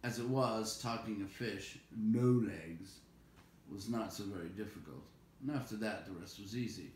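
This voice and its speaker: A man narrating a storybook aloud in an expressive read-aloud style. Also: fairly low pitch, normal speed. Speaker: storybook reader. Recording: echoey room with faint background noise.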